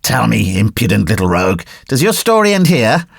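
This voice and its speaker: A man reads a greedy, irritable grown man whose voice swings up and down as he grumbles and demands. The storybook grump is gruff and impatient, and it is recorded dry. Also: mid-range voice, very animated, brisk, no echo, heavily acted. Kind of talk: audiobook reading.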